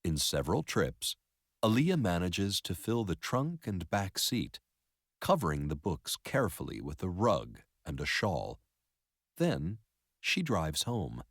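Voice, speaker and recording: A man narrating a story in an expressive educational read-aloud style. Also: low-pitched, unhurried, no echo, studio quality.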